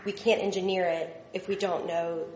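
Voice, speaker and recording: A woman's voice: lowish voice, flat delivery, moderate pace, a little mellow, slight background noise. Earnest woman applicant at a hearing. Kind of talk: responding at a public hearing. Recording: roomy, lo-fi recording with faint ambience.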